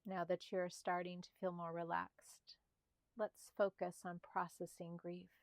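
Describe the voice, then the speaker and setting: Female meditation narrator, slightly low register, gentle breathy softness, soothing spaced delivery, dry studio-like sound. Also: no room sound. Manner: slow, calm, soothing delivery with spaced short phrases. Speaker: meditation guide.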